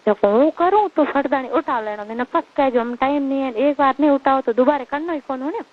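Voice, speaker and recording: A woman's voice: high-pitched, brisk. A woman with a Marwari Rajasthani accent chatting casually and intimately over the phone. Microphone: phone line. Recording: tinny, narrow-band phone call recording.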